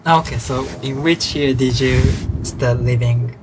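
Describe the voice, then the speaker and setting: A man's voice: mid-range voice, steady tone, unhurried, background noise. A man conducting an interview, asking questions and stumbling as he rephrases them. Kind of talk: oral history interview.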